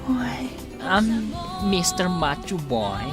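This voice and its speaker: Flirty bar woman, lowish voice, slow coy drawl, swooping pitch, radio comedy drama, music behind. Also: sing-song, heavily acted. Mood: flirtatious.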